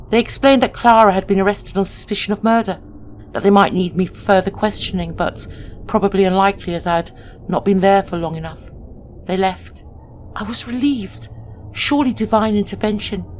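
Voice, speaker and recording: A woman reading a scripted first-person horror story in a measured, dramatic, suspenseful way. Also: mid-range voice, slight background noise, poor audio.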